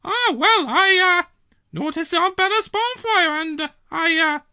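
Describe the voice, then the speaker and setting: A man voicing a grumbling, easily flustered village woman in a shrill falsetto for a children's storybook. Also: animated, measured pace, bright, forceful tone, no echo, clean studio sound, full character voice.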